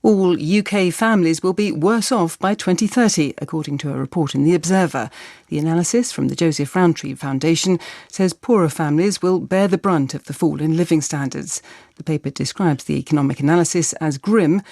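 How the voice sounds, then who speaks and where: A woman's voice: low voice, brisk, a little mellow, no echo, studio quality. A woman with a British accent reading a formal, scripted radio news bulletin as newsreader. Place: dry broadcast radio studio.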